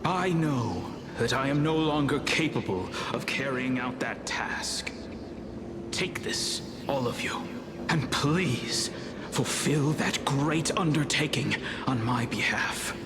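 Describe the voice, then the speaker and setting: An actor playing a proud, solemn fallen master alchemist in a video game, speaking in a formal, grandiose manner. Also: male voice, medium pitch, expressive, slow, background noise. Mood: weary, pleading. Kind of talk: dramatic game voice acting. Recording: music behind the voice. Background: music.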